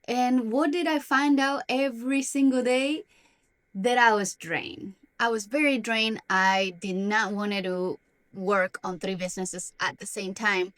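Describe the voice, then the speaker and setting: A young woman from Argentina, a native Spanish speaker, confides in her viewers in a self-help vlog. Her voice sits a bit high and her delivery is earnest, with the odd restart as she works through her thoughts. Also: fairly even intonation, unhurried, fairly bright tone. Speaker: self-development vlogger. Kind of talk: personal talk to camera.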